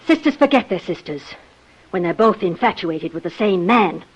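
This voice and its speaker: A woman's voice: fairly low pitch, animated, moderate pace, breathy edge, lo-fi sound. A woman playing a jealous, vengeful sister-in-law with intense, melodramatic 1950s radio acting. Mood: confrontational.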